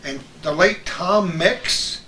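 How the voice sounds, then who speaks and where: Older man reminiscing, slightly high voice, echoey room. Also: slow, sharp tone. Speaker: host. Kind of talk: casual reminiscing chat about old radio. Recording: echoey room with background noise.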